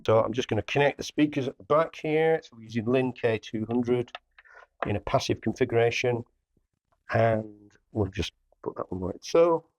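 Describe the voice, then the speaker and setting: A man's voice: mid-range voice, moderate pace, muffled recording. A man giving a casual product demonstration as a hi-fi dealer, plainly explaining each step as he works. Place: indoor hi-fi showroom.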